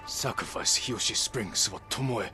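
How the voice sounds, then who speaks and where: A resolute younger samurai played by a male actor in a video game drama. His low voice is restrained and solemn, and he speaks earnestly and with control over faint music. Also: normal speed, close to an everyday voice. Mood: serious, questioning.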